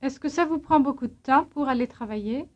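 A woman acting as a language instructor, reading out scripted drill questions in a clear, measured way. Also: sits high, darkish tone. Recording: dull lo-fi language tape.

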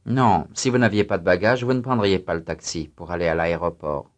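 A man reading scripted student answers and exercise announcements in a language drill, careful and even. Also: low-pitched, fairly quick.

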